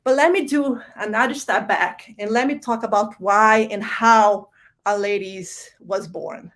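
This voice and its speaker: A woman's voice: medium pitch, measured pace, fairly bright tone. Brazilian woman giving a conference keynote. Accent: Brazilian Portuguese. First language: Portuguese. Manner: conversational, many fillers and restarts. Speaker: keynote speaker.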